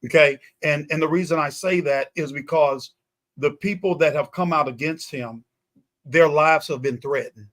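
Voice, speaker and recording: A man's voice: a little high, normal speed, fairly bright tone. A man in a conversational political talk show discussion, speaking emphatically and repeating phrases for weight.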